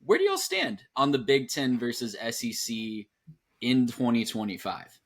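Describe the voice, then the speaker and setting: A man hosts a sports podcast in an easygoing, upbeat way. His voice sits at a middling pitch, and he moves conversationally between welcomes and questions. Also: big pitch swings, a little slow. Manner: upbeat, conversational host patter. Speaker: podcast host. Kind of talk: casual podcast hosting.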